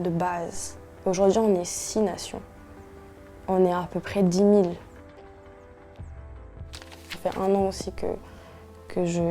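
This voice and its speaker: A woman's voice: mid-range voice, mellow. Earnest young woman from French Guiana. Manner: earnest, measured, with frequent restarts and hesitations. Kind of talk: interview testimony.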